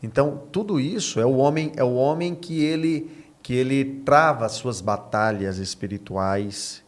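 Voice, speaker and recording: A man's voice: mid-range voice, moderate pace. A man with a Brazilian accent, a pastor speaking as a guest in a conversational preaching style, repeating phrases for emphasis.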